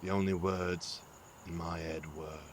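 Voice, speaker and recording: Guy acting tired gruff dad in an audio drama, real deep n slow, earnest, noisy outdoor night bg. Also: flat delivery. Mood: wistful. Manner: measured, weary, earnest. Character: gruff, rueful father, wary of magic.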